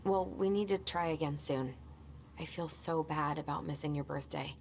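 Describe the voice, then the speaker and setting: A woman's voice: sits low, moderate pace, darkish tone. An actress playing a tired, anxious young woman in a naturalistic video game cutscene. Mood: apologetic, casual. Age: young adult.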